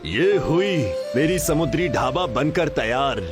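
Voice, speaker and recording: A man's voice: on the high side, lively intonation, measured pace, loud music behind the voice. A man voicing a commanding, blunt pirate chief weary of captivity in a story.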